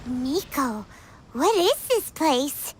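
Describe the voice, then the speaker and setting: A woman voicing a tiny, timid, excitable childlike fairy companion in a video game, with a squeaky whine. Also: extremely slow, slight background noise, heavily acted. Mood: uneasy. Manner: refers to self in third person, whiny, naive. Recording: loud music behind the voice.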